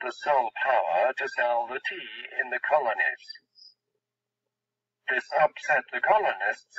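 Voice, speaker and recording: A man's voice: medium pitch, bright, forceful tone, breathy edge, dry recording. A man narrating a scripted lesson text as a read-along. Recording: muffled, distant, lo-fi.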